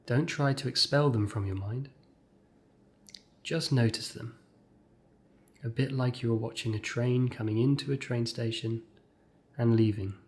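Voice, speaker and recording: Man guiding a mindfulness meditation. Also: mid-range voice. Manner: measured, gentle pauses between short phrases. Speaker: mindfulness exercise guide. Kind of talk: guided meditation, scripted instruction.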